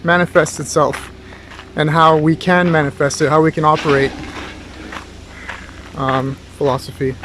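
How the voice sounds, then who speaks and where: Guy with a slightly high voice thinking out loud on a walk, halting and rambly, noisy outdoors. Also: flat delivery. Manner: musing, halting, searching for words. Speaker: philosophy tutor and vlog host. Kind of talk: rambling, reflective monologue recorded while walking.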